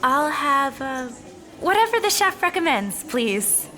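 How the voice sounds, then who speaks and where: A woman's voice: high-pitched, sing-song, a little slow, pushed and bright, full character voice. A woman voicing a polite, hesitant teenager.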